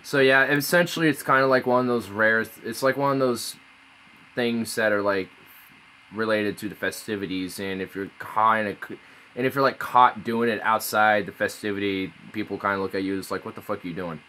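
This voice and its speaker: A man's voice: lowish voice, fairly even intonation, brisk, sharp tone, slight background noise. A man streaming casually, rambling and swearing as he reads aloud. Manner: rambling, laid-back, swears often. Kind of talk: casual live-stream chat. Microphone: phone.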